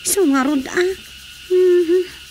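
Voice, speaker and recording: An everyday Ilocano housewife talking in a radio drama. Her pitch is notably high, and faint crickets chirp in the background. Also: very slow, a little mellow, slight background noise, full character voice, poor audio. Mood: conversational.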